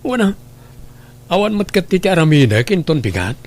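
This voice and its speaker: A man acts as a selfish, lazy boyfriend in a radio drama. His voice is on the high side for a man, and he speaks in a wheedling, complaining way. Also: very animated, normal speed, darkish tone.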